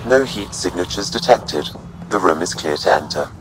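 An actor playing a calm, precise male artificial intelligence companion in a video game, measured and formal. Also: low voice, a little hiss or hum, low-quality recording. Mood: calm, factual.

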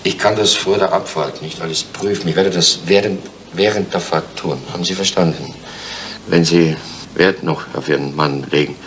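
An actor playing a demanding hostage-taker in a crime radio play, halting yet commanding. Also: male voice, sits low, fairly even intonation, fairly bright tone, a little hiss or hum. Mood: halting, threatening. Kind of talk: 1970s German crime radio play acting.

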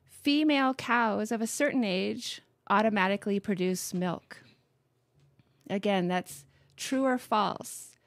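A woman in her late forties from Montana, hosting a radio talk show in an unhurried, friendly way, with many ums. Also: medium pitch, dry recording. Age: 40s. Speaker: host.

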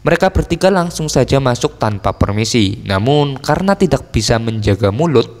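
A man's voice: medium pitch, animated, moderate pace, a little mellow. A man narrates a film recap from a script, in an informal storytelling manner with casual slang asides. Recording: voice-over with long reverb over music. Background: music.

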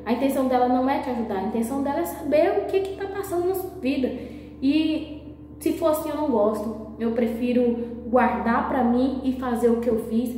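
A woman in her thirties, hoarse and nasal from a sore throat, talking softly to a phone camera for her vlog in a small bathroom. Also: brisk, a little hiss or hum. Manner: speaking softly, low voice. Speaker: vlogger. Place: small tiled bathroom indoors. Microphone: phone camera at arm's length. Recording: muffled echoey bathroom.

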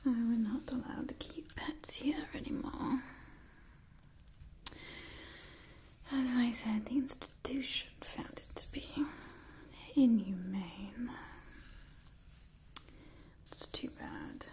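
A young woman playing a refined, doting vampire clan leader in a whispered ASMR roleplay, speaking in a genteel, gently imperious manner. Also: a little high, slow, long pauses. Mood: wistful, haughty. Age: young adult. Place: small indoor room with wooden backdrop.

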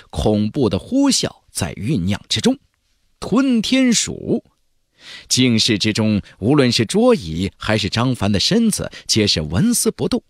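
A man's voice: mid-range voice, no echo. A man narrating a fantasy audiobook in a dramatic, scripted style.

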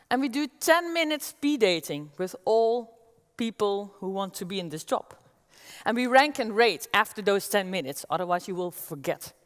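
Dutch woman giving a keynote in a hall. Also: medium pitch. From Netherlands. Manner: energetic, gestures as she walks the stage. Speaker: keynote speaker. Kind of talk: conference keynote talk. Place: large auditorium stage with audience. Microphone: headset mic. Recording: long reverb of a large hall.

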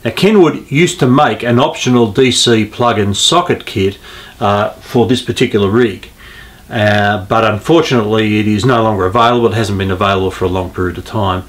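Man, 50s, hobbyist tutorial host, lowish voice, informal and halting delivery. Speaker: host of an amateur radio hobby video. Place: small indoor radio room at home.

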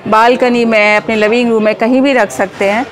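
A middle-aged woman explaining her handmade products at an exhibition stall, unhurried, mixing Hindi with English. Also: a little high, fairly even intonation. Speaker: startup owner showing products. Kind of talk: casual product walkthrough at a stall. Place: indoor exhibition hall stall. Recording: busy expo crowd behind.

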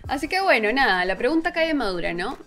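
A woman's voice: high voice, big pitch swings, quick pace, fairly bright tone. A young woman co-hosting a casual video podcast in a playful, teasing way. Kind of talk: casual chat. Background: background music.

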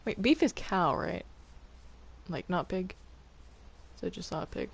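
Slow-talking, hesitant woman gaming. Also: fairly low pitch, animated, vocal fry, slightly rough. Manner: hesitant, stammering, apologetic. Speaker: gamer playing a multiplayer game. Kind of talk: casual in-game chat.

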